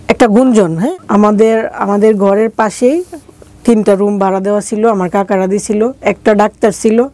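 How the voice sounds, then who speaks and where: Mellow Bangladeshi woman recounting her life. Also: medium pitch, no room sound. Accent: regional Bangladeshi. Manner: colloquial, acting out remembered dialogue. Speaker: interview guest. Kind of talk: conversational personal life-story telling.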